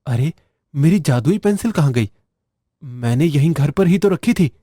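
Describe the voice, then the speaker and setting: A man's voice: a little high, expressive, moderate pace, warm tone, no room sound, studio quality. A man voicing village boys in a cartoon story, in an earnest, questioning manner. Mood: worried. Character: village boys, one naive, one sly and greedy.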